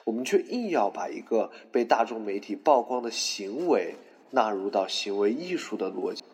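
Reverb rings around a low-pitched actor playing a male art critic in a courtroom drama. He is stern and dismissive, delivering his argument in formal, scholarly phrasing. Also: big pitch swings, moderate pace, a little mellow. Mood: critical, dismissive. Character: stern, pedantic art critic testifying in mock trial. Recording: reverberant hall.

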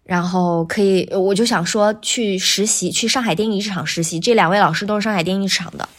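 A woman chatting casually as a guest, telling about her work as a voice actor with animated storytelling. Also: mid-range voice, quick pace. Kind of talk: casual interview chat, recounting a career.

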